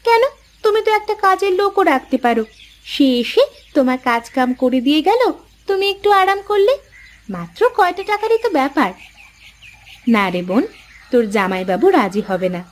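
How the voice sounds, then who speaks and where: A woman voicing fussing, affectionate village sisters in a cartoon, in an expressive, homely way. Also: sits high, fairly quick, pauses often. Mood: concerned, chatty. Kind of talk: cartoon voice acting. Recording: faint music behind the voice.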